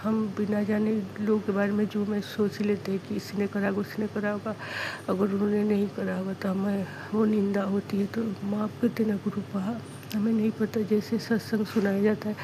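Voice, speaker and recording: Hoarse woman offering devotional thanks(गला ख़राब वाली महिला, भक्तिपूर्ण आभार). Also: mid-range voice, level tone, background noise. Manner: earnest, repetitive thanks, halting phrasing. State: sore throat, hoarse. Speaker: devotee sharing a testimony. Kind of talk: devotional testimony, prayerful thanks.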